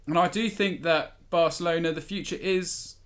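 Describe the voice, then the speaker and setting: A man talking football as a podcast panelist, giving his analysis in a conversational, slightly halting way. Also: fairly high pitch, fairly even intonation, a bit bright. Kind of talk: football discussion, casual analysis.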